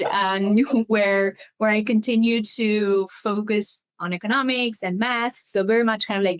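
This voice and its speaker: A woman's voice: mid-range voice, clear, resonant tone. A woman from Albania, a foundation investment head, answering interview questions thoughtfully with frequent ums. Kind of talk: interview guest.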